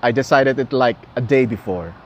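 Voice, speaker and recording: A man's voice: medium pitch, normal speed, muffled recording. A young man who speaks Tagalog as his first language, chatting casually with viewers on a live stream outdoors at night. He laughs often and repeats words while he talks. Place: outdoors at a gas station at night. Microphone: phone camera at arm's length. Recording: faint outdoor noise. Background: traffic and city ambience.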